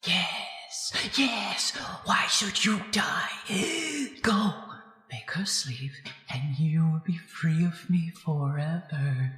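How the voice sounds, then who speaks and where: A woman's voice: lowish voice, lively intonation, extremely slow, fairly bright tone, exaggerated voice. An actress playing a menacing, bloodthirsty undead female ghost in a radio horror drama, theatrical and commanding, often dropping into whispers. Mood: urging, taunting. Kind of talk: old-time radio horror drama acting. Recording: very echoey reverberant hall, music underneath.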